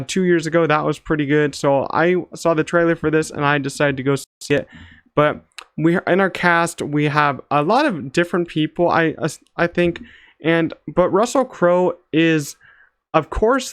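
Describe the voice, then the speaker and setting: Young man, slightly high smooth voice, casual vlog reviewer, loose slangy phrasing, close dry sound. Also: fairly bright tone, no echo. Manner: loose, hedging, slangy, frequent "like". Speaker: movie reviewer, channel host.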